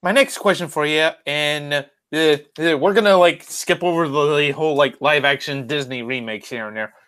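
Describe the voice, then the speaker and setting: A podcast host chatting casually in an unscripted interview, halting and hesitant, circling back on phrases. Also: medium pitch, a bit bright, smooth.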